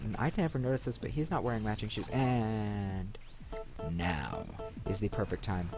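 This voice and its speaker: A man hosting a let's play, rambling casually and thinking aloud into a desk microphone. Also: fairly low pitch. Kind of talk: casual gaming commentary. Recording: music behind the voice. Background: video game music.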